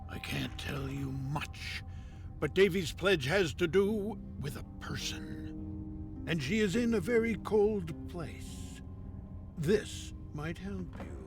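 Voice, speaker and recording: A man's voice: sits high, expressive, takes it slowly, music in the background, full character voice. A man playing a solemn, cryptic helper who gives guarded hints in a video game. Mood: grave, cryptic. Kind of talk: video game cutscene acting.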